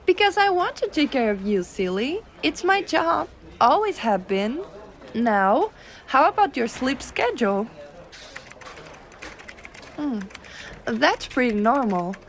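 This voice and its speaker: A woman playing a gentle, teasing giantess secretary in an ASMR roleplay, coaxing and cooing softly. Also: high-pitched, sing-song, exaggerated voice. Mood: tender, reassuring. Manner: soft coaxing, cooing, unhurried.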